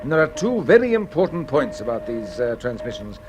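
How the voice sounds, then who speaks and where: A man's voice: medium pitch, very animated, a little fast, over music, close to an everyday voice. A man playing a methodical colonel in a 1950s radio drama, explaining things politely with small hesitations. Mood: explanatory. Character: methodical military colonel explaining technical gadgets.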